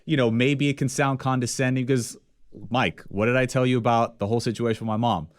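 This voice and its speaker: Smooth-voiced man co-hosting a chat. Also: mid-range voice, a little fast. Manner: relaxed, conversational, easygoing asides. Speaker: podcast co-host. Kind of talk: casual interview chat.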